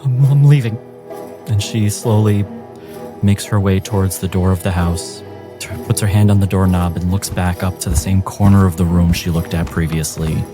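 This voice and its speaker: A man's voice: low-pitched, little pitch movement, normal speed, music in the background. A man narrating a tabletop game as game master, setting suspenseful scenes.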